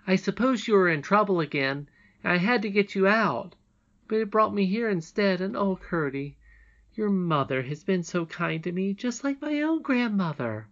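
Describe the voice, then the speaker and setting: A woman's voice: mid-range voice, expressive, normal speed, highs cut off, pitched above the performer's own voice, natural voice. A woman voicing a sweet, trusting young princess in a storybook, earnest, emphatic and childlike. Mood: earnest chatter. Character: sweet, trusting young princess, little girl, eager and polite.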